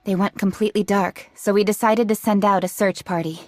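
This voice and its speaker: A woman's voice: medium pitch, steady tone, brisk, darkish tone, dry recording, studio quality, lightly acted. A woman playing a businesslike young female android squad leader in a video game, dutiful and curt, speaking formally and with composure. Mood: briefing. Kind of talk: English video game voice acting.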